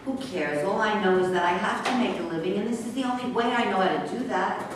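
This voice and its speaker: A woman's voice: mid-range voice, fast, lightly acted. A woman reading the part of a stubborn, defiant young woman in a staged play. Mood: exasperated. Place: echoey room at a live staged reading. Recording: echoey room, far mic, faint noise.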